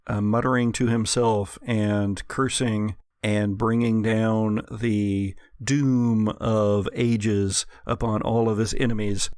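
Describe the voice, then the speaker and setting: A man running a gothic horror tabletop game as game master, narrating deliberately and descriptively with suspenseful pauses. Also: fairly low pitch, flat delivery, a little mellow, dry recording, clean studio sound.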